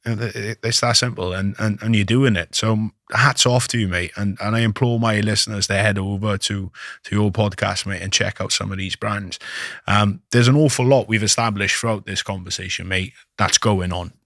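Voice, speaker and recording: British male podcast host, lowish voice, dry sound, chatty and casual. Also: steady tone, moderate pace, a bit bright, no room sound. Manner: chatty, says "mate" often, repeats words. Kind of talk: casual interview chat. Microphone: video call.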